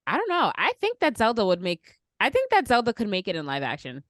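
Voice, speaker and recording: A woman's voice: a little high, huge pitch swings, quick pace, fairly bright tone, no echo, studio quality. A woman hosting a podcast, chatting casually about pop culture. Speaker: podcast host.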